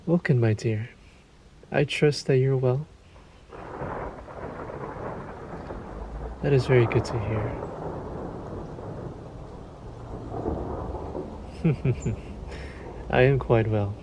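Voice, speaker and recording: A man voicing a suave, predatory male vampire in an audio roleplay, with a courtly, teasing, drawn-out delivery. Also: mid-range voice, background noise. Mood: courtly, welcoming.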